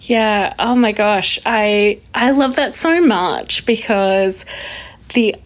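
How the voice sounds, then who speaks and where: A woman's voice: medium pitch, takes it slowly. A woman hosting a podcast, upbeat and enthusiastic in conversation. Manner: upbeat, enthusiastic, laughs easily. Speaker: podcast host. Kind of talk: podcast hosting and sponsor read.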